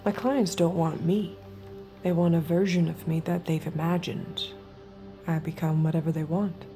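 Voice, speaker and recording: Audio drama lead: actress as detached young female escort, low and mellow, measured, intimate delivery. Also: steady tone, soft background music, close to an everyday voice. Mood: guarded, reflective. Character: guarded, detached young woman escort hiding her true self. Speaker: lead character and narrator. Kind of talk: intimate audio drama acting with inner narration.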